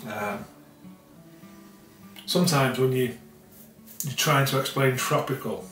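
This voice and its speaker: A man's voice: medium pitch, takes it slowly, fairly bright tone. A middle-aged man talks through a beer tasting in his home kitchen, circling back on his words with plenty of ums and repeats. The sound is roomy and a little distant from the camera mic. Faint music plays underneath. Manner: rambling, repeats himself, many fillers. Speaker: beer reviewer. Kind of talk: casual beer tasting review.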